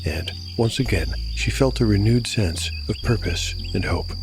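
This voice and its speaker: A man narrating a scripted audio drama as game master, with a measured storyteller's delivery. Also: on the low side, expressive, background noise. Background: music.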